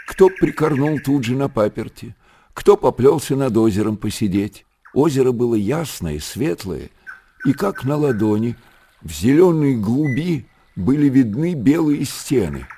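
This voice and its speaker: A man with a husky voice giving an expressive storytelling narration of literary prose for radio. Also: medium pitch, a little hiss or hum.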